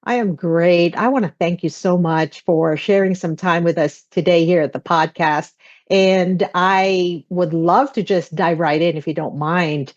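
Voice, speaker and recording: A woman's voice: fairly low pitch, a little slow, lo-fi sound. A woman hosting an interview podcast, introducing her guest and reacting with enthusiasm. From immigrant. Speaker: podcast host.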